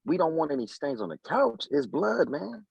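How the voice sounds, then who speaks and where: A man's voice: a little high, sing-song, normal speed, vocal fry, darkish tone, husky, no room sound, dull audio, full character voice. A man playing an anxious, evasive street hustler in a table-read drama, speaking casually and with slang. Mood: uneasy. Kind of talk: scripted table-read acting.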